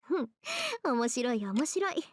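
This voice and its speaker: A woman playing a sharp-tongued, composed teenage girl in a Japanese visual novel, brisk, scolding and haughty. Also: on the high side, expressive, slight background noise, natural voice. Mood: smug, cool. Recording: background music under game dialogue.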